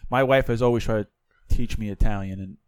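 A man's voice: on the low side, fast talker, smooth, dry recording. A man co-hosting a podcast in casual chat, joking and blunt, swearing casually. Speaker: podcast co-host. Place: podcast studio. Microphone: studio microphone.

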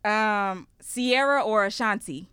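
A young woman with a bright, forceful voice hosts a relaxed podcast conversation. She fires off playful quiz questions and then shifts into thoughtful personal storytelling. Her voice is recorded dry and close. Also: mid-range voice, slow pace, smooth, dry recording. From Houston, Texas, raised in Ghana. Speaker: host. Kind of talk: casual podcast chat.

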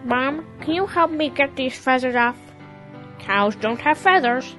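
A stubborn young farm calf played by a woman, high and bright in voice. The delivery is childlike and insistent, in the manner of a children's audio drama. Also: animated, a little slow, faint music behind, heavily acted. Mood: sorry.

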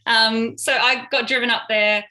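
Aussie woman in her 30s, bright voice, lots of ums, talking it through casually. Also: a little high, steady tone, measured pace. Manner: hesitant, many fillers. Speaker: paramedic interviewee. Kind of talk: casual recounting in an interview.

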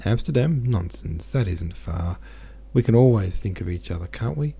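A man with a deep voice reading the lines of a sad, gentle young merchant from a novel. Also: expressive, normal speed, creaky, husky, exaggerated voice. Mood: heavy-hearted. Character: young male merchant, heavy-hearted and gentle.

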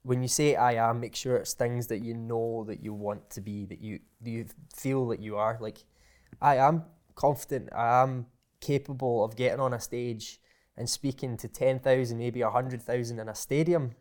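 Man, interview guest, hesitant, repeats words, mid pitch, casual. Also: fairly even intonation, normal speed, darkish tone. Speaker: guest, aspiring motivational speaker.

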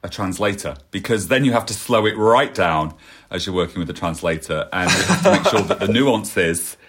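Low-voiced man hosting an interview. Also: lively intonation, normal speed, fairly bright tone, slightly rough. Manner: reflective, asks tag questions. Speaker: podcast host. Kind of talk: conversational interview.

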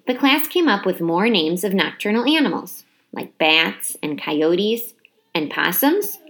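A woman reading a children's chapter book aloud as expressive storybook narration, with dramatic emphasis on key words. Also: mid-range voice.

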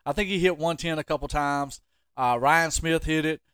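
Smooth-voiced man hosting a podcast. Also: fairly high pitch, normal speed, a bit bright, dry recording, clean studio sound. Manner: teasing, ribbing. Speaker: podcast host. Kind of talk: casual sports podcast chat.

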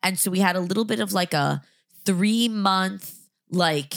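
A woman hosting a casual podcast chat, hoarse and nasal, chatty with quick self-deprecating asides. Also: medium pitch. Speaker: podcast host.

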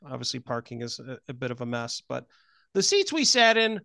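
A man's voice: medium pitch, wildly swooping pitch, fairly quick, gravelly, pushed and bright, no room sound, clean studio sound. A man hosting a podcast in a casual, chatty style, asking questions and telling stories. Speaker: podcast host. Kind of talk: casual chat, interviewing and storytelling. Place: close, dry recording.

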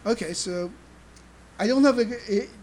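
A podcast host chatting casually about fandom, halting and searching for words on an amateur recording. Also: medium pitch, darkish tone, slight background noise. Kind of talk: casual chat about pop idol fandom.

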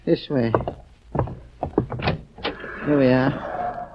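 An actor playing a formal, flustered, gullible gentleman selling his house in a 1940s radio play. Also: mid-range voice, fairly even intonation, extremely slow, very noisy. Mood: hesitant. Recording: dull old 1940s radio recording.

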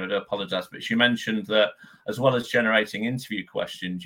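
A man's voice: on the low side, a bit bright, rough recording. A man appearing as a guest on a live online show, talking politely and casually while he shows a demo. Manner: polite, apologetic, friendly. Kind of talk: casual live-stream chat.

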